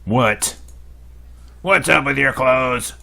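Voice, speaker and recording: A man's voice: mid-range voice, drawn-out words, creaky, pushed and bright, pitched above the performer's own voice, exaggerated voice. A man voicing a bossy adult female camp leader who gives orders in a commanding, scolding way.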